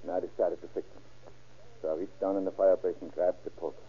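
An actor playing a cornered, guilt-ridden murder suspect in a 1930s radio crime drama, halting and stammering as he pleads. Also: low voice, flat delivery. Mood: haunted confession. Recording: muffled, tinny old-time radio recording.

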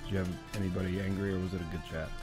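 A man's voice: low-pitched, level tone, rapid-fire, darkish tone, faint music behind. A man co-hosting a casual livestream with his brother, making dry, teasing remarks. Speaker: co-host, brother of the host.

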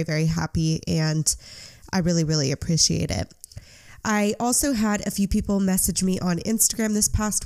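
A woman's voice: lowish voice, darkish tone. A young woman hosting a true crime podcast, sounding congested from a cold, chatty and earnest. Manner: chatty, earnest, apologetic asides. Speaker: podcast host.

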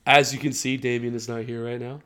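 A man's voice: medium pitch, little pitch movement, a little fast, fairly bright tone, clear, resonant tone. A young man co-hosting a podcast in casual slangy chat, a little congested and a few drinks in. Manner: laid-back, slangy, says dude and bro a lot. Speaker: podcast co-host.

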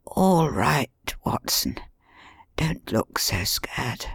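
A man voicing an injured male detective who mutters in a weak, hoarse whisper. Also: medium pitch, expressive, takes it slowly, dry recording, studio quality, full character voice. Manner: weak muttering, urgent instructions. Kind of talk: audiobook reading.

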